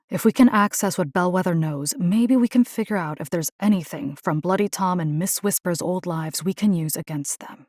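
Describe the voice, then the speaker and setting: A woman's voice: on the low side, a little mellow, no echo, clean studio sound, natural voice. An actress voicing a resolute, caring, plain-spoken young woman in a video game, earnest and conversational with a wry edge. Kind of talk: acted video game character dialogue.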